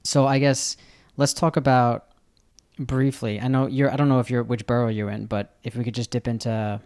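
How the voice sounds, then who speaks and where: A male podcast host in a loose, casual interview. He talks at an ordinary pitch for a man and rambles, stopping and restarting his questions as he goes. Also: little pitch movement, normal speed, darkish tone.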